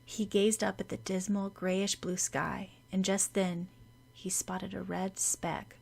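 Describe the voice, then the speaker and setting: A woman's voice: mid-range voice, flat delivery, slow pace, creaky, a little mellow, slightly rough. A woman narrating a fan fiction audiobook, reading scripted prose.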